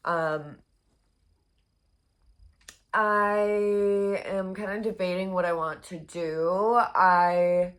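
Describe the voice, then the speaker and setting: A young woman chatting casually in a crochet vlog, her voice hoarse and congested, rambling with many fillers. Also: fairly low pitch. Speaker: crochet vlogger.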